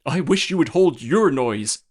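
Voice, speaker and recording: A man's voice: on the high side, lively intonation, moderate pace, voice pushed up, natural voice. A man voicing a frightened nurse who speaks urgently and in panic. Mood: hushed. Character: frightened anxious woman nurse.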